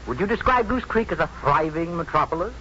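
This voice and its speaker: An actor playing a stern, pompous medical professor who questions like a prosecutor in a 1950s radio drama. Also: male voice, fairly high pitch, lively intonation, brisk, bright, forceful tone. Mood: prosecutorial. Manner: pompous, formal, pressing questioner. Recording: dull noisy 1950s radio recording.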